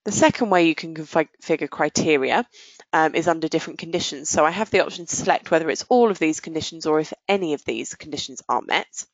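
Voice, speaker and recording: A woman presenting a software demo in a conversational, explanatory way, pausing and stretching words as she goes, on dull, muffled audio. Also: low-pitched, no echo.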